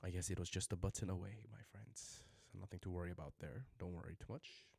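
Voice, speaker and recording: A man's voice: low-pitched, fairly even intonation, quick pace, vocal fry, darkish tone, breathy edge. A man teaching a casual livestream lesson, chatting with viewers and repeating phrases for learners. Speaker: Korean language teacher.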